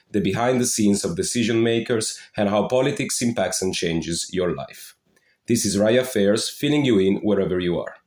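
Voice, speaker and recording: A man's voice: on the low side, a little mellow, reverberant. A man hosting a podcast, reading a formal welcome and then politely asking his guests questions. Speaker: podcast host. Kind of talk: scripted podcast introduction and interview.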